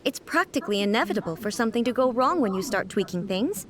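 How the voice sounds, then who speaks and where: A woman voicing a reclusive, contemplative female deity in a video game, speaking in a measured and articulate manner. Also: medium pitch, lively intonation, close to an everyday voice. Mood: defensive.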